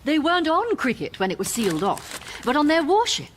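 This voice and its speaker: Sharp young space traveller, highish lively voice, assertive, bit of background noise. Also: female voice, fairly quick. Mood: cool, questioning. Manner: assertive, probing. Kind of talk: radio comedy drama acting.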